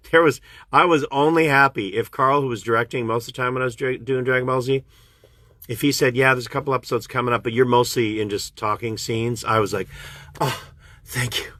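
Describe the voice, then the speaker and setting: A man's voice: fairly quick, no room sound. A man from Canada, a voice actor, chatting casually with fans on a livestream and answering their questions. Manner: chatty and animated, jumps up in pitch when excited. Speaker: voice actor hosting a fan livestream. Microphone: phone livestream.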